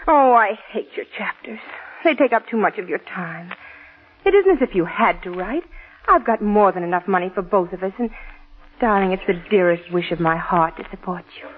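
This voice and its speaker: An actress playing a possessive, jealous young wife who can be sweet or sharp, in 1940s radio drama style. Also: female voice, medium pitch, lively intonation, normal speed, slightly rough. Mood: affectionate. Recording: tinny 1940s radio broadcast recording.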